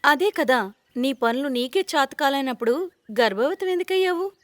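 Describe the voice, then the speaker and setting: A woman's voice: sits high, lively intonation, normal speed, no room sound. A woman voicing an emotional young unmarried sister in a cartoon moral story, speaking dramatically. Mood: mocking. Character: young unmarried sisters, haughty, later tearful and devoted.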